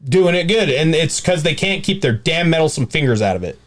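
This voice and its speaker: A man's voice: fairly high pitch, animated, fairly quick. A man co-hosting a podcast, chatting casually and offering blunt opinions. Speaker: podcast co-host.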